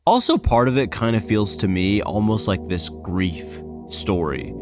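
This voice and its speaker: Casual male reaction commentator, animated and rambling, speaking over prominent background music. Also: on the low side, a little slow. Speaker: reaction video creator. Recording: loud music under the voice. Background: background music from games.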